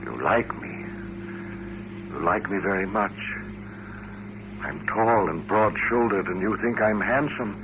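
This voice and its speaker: An American actor playing a lonely, quietly controlling mutant suitor in a 1950s radio drama, hesitant yet insistent and coaxing. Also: male voice, fairly low pitch, slow pace, long pauses. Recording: noisy vintage radio broadcast recording.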